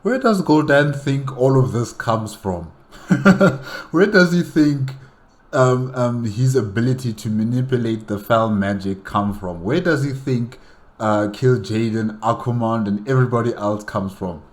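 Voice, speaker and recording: A man's voice: medium pitch, a little slow. A man giving casual solo reaction commentary, asking rhetorical questions and addressing characters directly.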